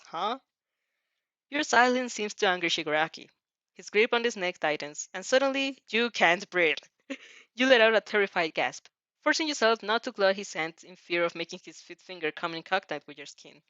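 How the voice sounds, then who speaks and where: A woman's voice: mid-range voice, a bit bright, dry recording, lo-fi sound. A woman narrating a second-person story aloud in an emotive, theatrical way. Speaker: narrator reading fan fiction aloud. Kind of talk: dramatic second-person story reading with reactions.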